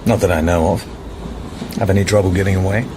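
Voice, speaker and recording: An actor playing a cool, guarded secret agent in a radio drama, clipped, dry and in command. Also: male voice, fairly even intonation, quick pace, close to an everyday voice. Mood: relaxed, wry. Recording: music and rumble behind dialogue.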